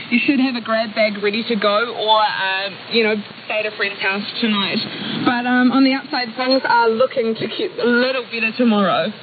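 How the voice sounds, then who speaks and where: Female field reporter, New Zealand accent, fairly high voice, tinny radio band, noisy, informal live delivery. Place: outdoors on a windy coast at evening. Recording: tinny shortwave radio, clear static noise.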